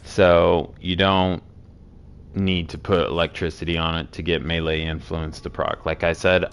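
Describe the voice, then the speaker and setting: Low-voiced hoarse man explaining game builds. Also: a little hiss or hum. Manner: rambling with fillers, relaxed. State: hoarse, sore throat. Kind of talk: casual build walkthrough.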